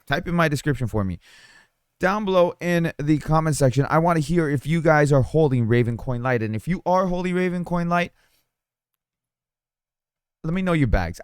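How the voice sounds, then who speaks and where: A man talks over his screen in a loose, rambling way, his throat a little raw and scratchy. Beneath the roughness his voice stays smooth and resonant. The sound is close and dry, with no room echo, from a desk mic. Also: fairly high pitch. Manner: rambling, many fillers and restarts. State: slightly hoarse, sore throat. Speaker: crypto mining YouTuber. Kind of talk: casual screen-share commentary. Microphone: studio desk mic.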